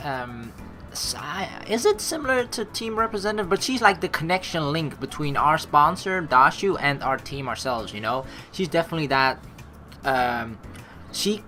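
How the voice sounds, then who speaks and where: Low-voiced, expressive woman gamer. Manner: chatty, slangy, expressive reactions. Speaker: let's play host. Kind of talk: gaming commentary, reading story text aloud.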